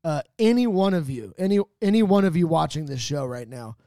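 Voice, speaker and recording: A man's voice: on the high side, expressive, brisk, mellow, no echo, studio quality. A man co-hosting a casual panel show, teasing the others and using mock-grand phrasing. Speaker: podcast panelist and co-host. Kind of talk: casual banter.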